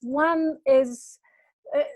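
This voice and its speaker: Italian-accented woman, high and dry. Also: steady tone, very slow, no echo, narrow, tinny audio. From Italy. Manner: warm, earnest, with many restarts. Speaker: professor. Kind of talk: webinar panel talk. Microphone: video call.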